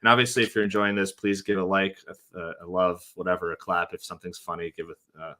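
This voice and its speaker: Bright-toned male host asking questions. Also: low voice, flat delivery, quick pace. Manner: friendly, hesitant questioner with many fillers. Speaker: podcast host. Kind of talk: interview hosting.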